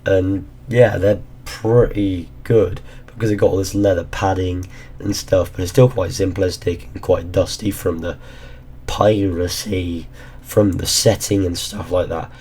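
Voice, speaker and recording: A low, mellow male voice, worn hoarse as he loses his voice. He rambles casually and drops the odd swear word. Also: little pitch movement, measured pace, slight background noise. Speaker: gaming YouTuber. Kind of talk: casual ranking commentary.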